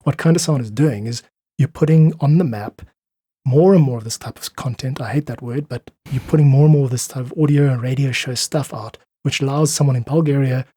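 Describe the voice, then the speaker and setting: Husky-voiced South African man talking emphatically. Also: medium pitch, dark tone, no echo. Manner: emphatic, persuasive, informal. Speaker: musician, podcast guest. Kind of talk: conversational interview, opinionated monologue.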